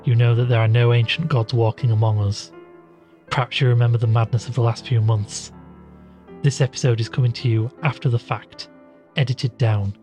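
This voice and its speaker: A man playing a weary, confessional podcast host and shaken survivor in an audio drama, with measured, dryly understated delivery. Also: medium pitch, steady tone, darkish tone, soft background music, lightly acted. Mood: sombre, confessional.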